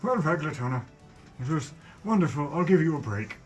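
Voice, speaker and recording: A man's voice: fairly high pitch, very animated, a little fast, faint music behind. A man who plays a tabletop roleplaying game, speaking casually and jokingly as he relays his character's words.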